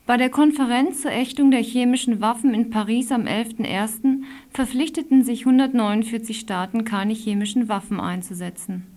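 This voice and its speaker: A woman's voice: fairly high pitch, darkish tone. A woman reading a scripted news report aloud as a radio news reader, formal, with an occasional slip.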